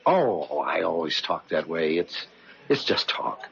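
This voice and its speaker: An actor playing an earnest, self-doubting young salesman in love in a 1970s radio drama, husky-voiced and boyishly eager. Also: sits low, very animated, measured pace, a bit bright, slight background noise, full character voice. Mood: eager, wistful. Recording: muffled old radio drama.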